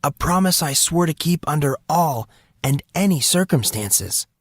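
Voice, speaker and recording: A man's voice: a little high, expressive, measured pace, dry recording, clean studio sound, pitched above the performer's own voice. A man voicing a defensive, exasperated fourteen-year-old boy with an earnest, evasive manner in an audiobook. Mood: earnest, guarded. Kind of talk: audiobook character voice.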